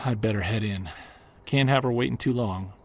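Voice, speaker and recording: An actor playing an earnest male Bigfoot investigator who narrates in the first person, measured and defiant toward skeptics. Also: lowish voice. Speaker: narrator and lead character. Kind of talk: audio drama narration.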